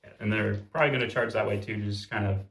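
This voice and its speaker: A man's voice: lowish voice, fairly even intonation, very fast, a bit bright, echoey room, far from the mic. A man explaining his tabletop game moves in short, laid-back casual chat. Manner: laid-back, brief replies. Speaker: opponent player.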